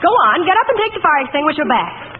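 A brazen, scatterbrained wife who nags and argues. She talks fast with a high, swooping pitch in old-time radio comedy style. The recording is noisy and phone-thin. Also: very animated, narrow, tinny audio. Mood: nagging.

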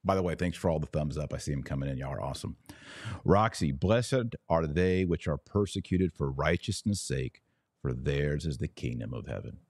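A man's voice: a bass voice, animated, normal speed, darkish tone, no room sound, studio quality. A man hosting a live religious commentary stream, reading out comments and answering them in an emphatic, preachy way with short repeated declarations. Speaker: podcast host.

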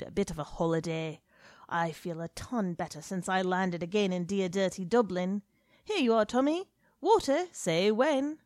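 A woman voicing a loud, worldly male journalist who brags jovially, in a dry recording. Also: medium pitch, no room sound, natural voice. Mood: boastful. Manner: brash, swaggering, jovial. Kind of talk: audiobook character reading.

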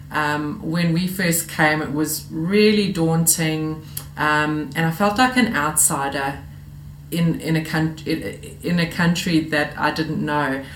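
A woman from South Africa with a South African accent, giving an earnest personal testimony in a recorded video, pausing often with "um". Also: low-pitched. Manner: earnest, personal, with frequent "um" pauses. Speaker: church member giving testimony. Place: room away from the congregation. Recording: echoey room, faint ambient noise.

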